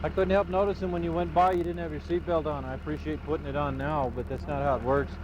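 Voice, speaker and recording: Quick-talking patient cop on a muffled roadside mic. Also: male voice, on the high side, level tone, noisy background. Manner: patient, polite, repeats "okay". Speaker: police officer. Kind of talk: roadside traffic stop.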